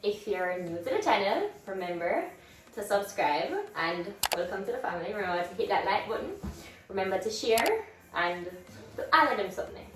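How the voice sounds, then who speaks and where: A young Jamaican woman vlogging in casual chat, lively and laughing, with Patois exclamations. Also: medium pitch, normal speed. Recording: roomy echo from a distance.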